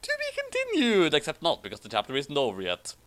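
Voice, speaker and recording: A man's voice: a little high, huge pitch swings, fast, a bit bright. A man reading fan fiction aloud for laughs, mock-dramatic and amused, breaking off to add his own comments.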